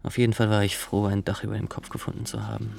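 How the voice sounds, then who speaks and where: Gravelly, formal, methodical male inspector. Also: fairly low pitch, monotone, darkish tone. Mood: solemn, methodical. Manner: clipped, formal, methodical, deliberate. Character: young, earnest, zealous government inspector, stiffly formal. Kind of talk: radio drama, dictated case log monologue.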